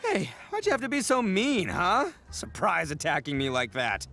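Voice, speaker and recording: A brash young oni gang boss blusters theatrically, his pitch leaping wildly. He is a boastful, goofy, hot-headed video game character, with soft music behind him. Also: huge pitch swings, normal speed, creaky, heavily acted. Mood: defiant.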